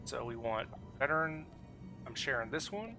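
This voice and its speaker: A man's voice: mid-range voice, animated, moderate pace, over music. A man streaming a game and organizing his group in casual, self-deprecating voice chat.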